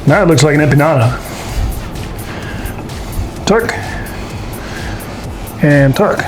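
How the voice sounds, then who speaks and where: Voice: mid-range voice, lively intonation, slow, over music. A folksy, jokey host casually talking through a baking demonstration to camera. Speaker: host of a paranormal show doing a baking segment.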